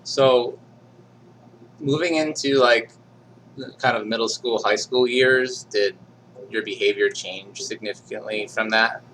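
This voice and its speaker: Bright-voiced man asking casual questions. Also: mid-range voice, unhurried, long pauses, poor audio. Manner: relaxed, conversational, frequent ums and likes. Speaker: interviewer running typing sessions. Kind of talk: casual interview. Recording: faint hum behind.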